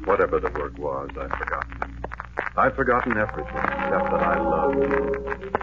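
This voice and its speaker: Deep-voiced, lovelorn war veteran. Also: male voice, lively intonation. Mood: brooding. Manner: brooding, poetic, measured delivery. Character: lovelorn, weary male war veteran narrating his lifelong search. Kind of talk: 1940s radio drama monologue. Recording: noisy, dull 1940s radio recording.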